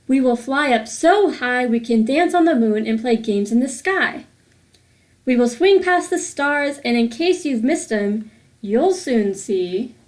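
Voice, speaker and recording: A woman reading a rhyming picture book aloud for children in a deliberate, sing-song way. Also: high voice, darkish tone. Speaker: host of a children's read-aloud series.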